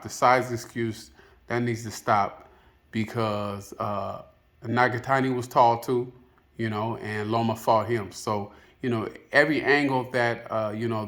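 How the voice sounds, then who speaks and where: A man's voice: medium pitch, fairly bright tone. A man giving casual, unscripted opinion commentary as a video host, rambling and hesitant, often padding with filler phrases. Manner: hesitant, rambling, peppered with "you know".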